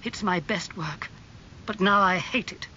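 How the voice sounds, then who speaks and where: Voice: medium pitch, a little slow, pushed and bright, dull audio, slight background noise, pitched above the performer's own voice. A narrator playing an earnest, wounded male painter and devoted friend in a dramatised audiobook. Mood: bitter.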